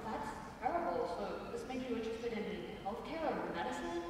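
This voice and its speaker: A woman's voice: on the high side, lively intonation, brisk, background noise, lightly acted. A young woman on a school stage playing a patient but weary college admissions interviewer. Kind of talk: stage play performance. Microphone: far audience camera. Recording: echoey hall, far from camera.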